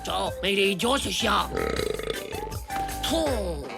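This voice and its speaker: An excitable cartoon schoolboy, about ten years old, speaks in slangy exclamations with a whiny edge. He is crude and quick to protest, over loud music. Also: medium pitch, gravelly. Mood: disgusted, panicked.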